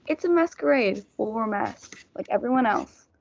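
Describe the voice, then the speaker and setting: A young nobleman played by a female performer with a fairly high voice, friendly and practical, coaxing his companions in a student stage reading. The audio is dull and lo-fi. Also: lively intonation, moderate pace, gravelly. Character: young male Montague, friendly peacemaking cousin, coaxing and practical. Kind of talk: student reader's theatre.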